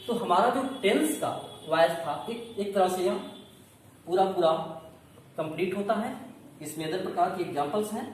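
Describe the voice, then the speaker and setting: A man with a North Indian accent teaching grammar in a didactic classroom style, often asking questions and answering them himself. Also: high voice. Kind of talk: classroom-style lesson in Hindi with English terms. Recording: very echoey room, distant mic, faint noise.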